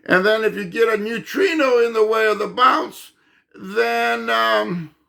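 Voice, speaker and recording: A man explaining physics in an unscripted monologue, insistent and emphatic, halting with fillers and restarts, and losing his voice. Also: high voice, creaky, pushed and bright. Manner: insistent, emphatic, repeats himself. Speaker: channel host explaining physics.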